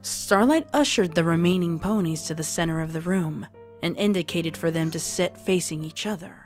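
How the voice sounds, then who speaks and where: Low-pitched woman narrating a story. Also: moderate pace. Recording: music under the voice.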